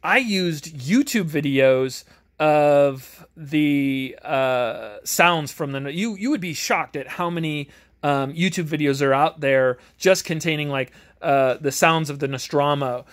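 A man giving a casual, unscripted game review in a halting way, searching for words as he goes. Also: a little high. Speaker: tabletop game reviewer and game master. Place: home garage.

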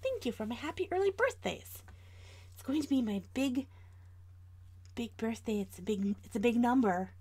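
Chatty American woman with a creaky voice. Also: on the high side, expressive, normal speed, long pauses, mellow. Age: about 40. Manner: chatty, informal, addressing viewers directly. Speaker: host of an art livestream. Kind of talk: casual livestream chat while drawing.

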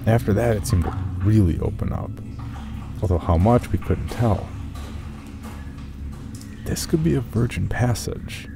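A man with a very low, gravelly voice narrates a first-person journal story. His delivery is that of an audio storyteller, with music playing clearly behind him. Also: expressive, unhurried, pauses often.

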